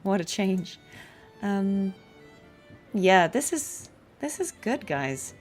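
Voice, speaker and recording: A woman's voice: mid-range voice, very animated, takes it slowly, faint music behind. A woman live streaming a game, chatting casually in a musing, appreciative way.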